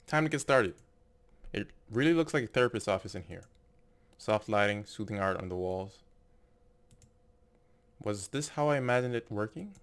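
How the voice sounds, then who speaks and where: Musing man with a lowish voice. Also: expressive, normal speed, long pauses, clean tone. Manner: musing, tentative. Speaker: gameplay video narrator. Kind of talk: casual game commentary and reading on-screen text. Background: game music.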